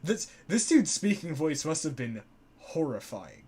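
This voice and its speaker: A man's voice: on the high side, big pitch swings, unhurried, crowd noise. A young man co-hosting a casual live-stream chat about old music, loose and joking, with many fillers. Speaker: co-host.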